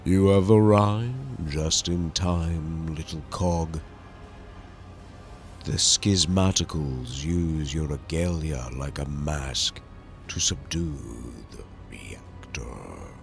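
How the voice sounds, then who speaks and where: A man acts out a masked female cult leader from a dark sci-fi game. The delivery is unhurried and theatrical, gloating and fanatical, with a creaky edge and music behind. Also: rumbling low, heavily acted. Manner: slow, gloating, theatrical declamation. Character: menacing masked female heretic cult leader, taunting and preachy.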